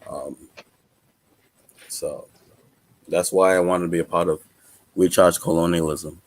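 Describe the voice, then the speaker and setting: A man from the United States, born in Trinidad and Tobago, giving earnest testimony in halting, deliberate phrases as a panel member. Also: sits low, steady tone, a little mellow.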